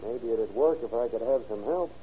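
A man plays a determined, earnest male police officer reporting in a 1930s radio play. His voice is very dark and mellow. It comes through a dull, faintly noisy old broadcast with hum. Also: medium pitch, moderate pace, lightly acted. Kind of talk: 1930s radio drama acting.

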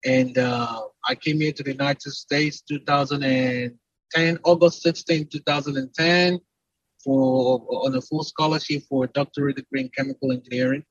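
A man's voice: medium pitch, fairly even intonation, normal speed, dry recording, lo-fi sound. A Nigerian-accented man speaking as an entrepreneur in a conversational interview, explaining at length with emphatic, repeated lists. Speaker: entrepreneur and company CEO, podcast guest.

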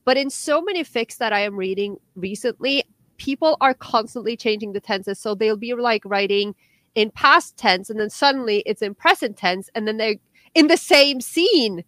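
A woman's voice: on the high side, normal speed, a bit bright, no echo. A young woman from Norway, a podcast co-host chatting casually and animatedly, with many restarts and fillers. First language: Norwegian. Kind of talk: casual chat.

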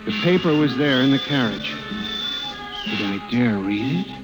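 An American actor playing an anguished, obsessive office scientist in melodramatic 1950s radio drama style, on an old radio recording. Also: male voice, mid-range voice, animated, unhurried, over loud music, close to an everyday voice, poor audio. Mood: haunted, brooding. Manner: melodramatic, haunted, intense delivery. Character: anguished, obsessive male office scientist narrating his nightmare.

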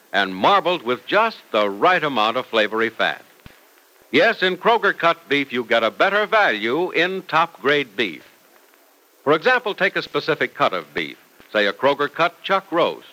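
A male announcer with emphatic, deliberate delivery, reading sponsor copy and narration in old-time radio style. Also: mid-range voice, pushed and bright, low-quality recording. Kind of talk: 1940s-50s radio advertising and serial narration. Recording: vintage radio broadcast recording.

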